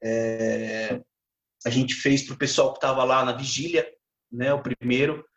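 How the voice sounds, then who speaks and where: A man with a Brazilian accent, a guitarist chatting as a podcast guest in a relaxed, halting way full of fillers and restarts. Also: medium pitch. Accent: Brazilian Portuguese. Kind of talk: casual podcast chat. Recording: roomy echo.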